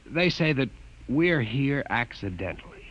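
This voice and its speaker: An actor playing an earnest young scientist cadet in a 1950s radio drama, formal and respectful. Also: male voice, medium pitch, expressive, unhurried, a little hiss or hum, close to an everyday voice. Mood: earnest, hesitant. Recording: dull, muffled old radio recording.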